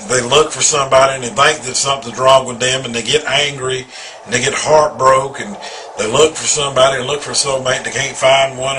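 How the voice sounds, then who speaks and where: A man's voice: medium pitch, pushed and bright, a little hiss or hum, low-quality recording. A man in his forties giving an earnest, rambling personal testimony, often repeating himself. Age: 40s. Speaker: video testimonial speaker.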